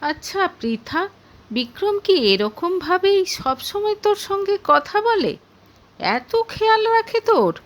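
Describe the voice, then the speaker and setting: A woman's voice: sits high, very animated, unhurried, fairly bright tone, exaggerated voice. A woman voicing an anxious, suspicious young wife who speaks in questioning, pleading tones in an audio story. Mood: wistful, curious.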